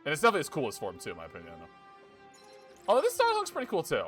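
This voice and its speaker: Young man streaming, chatty and lively. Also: a little high, racing. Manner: thinks aloud, swears, chuckles often. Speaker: game streamer. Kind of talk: casual live gameplay commentary. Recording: game music behind.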